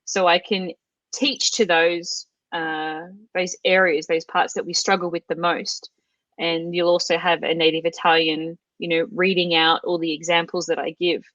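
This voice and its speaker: A woman's voice: fairly low pitch, flat delivery, moderate pace, fairly bright tone, dry recording, highs cut off. A middle-aged Australian woman chatting casually as an interview guest, with lots of fillers and restarts. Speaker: guest, language course creator.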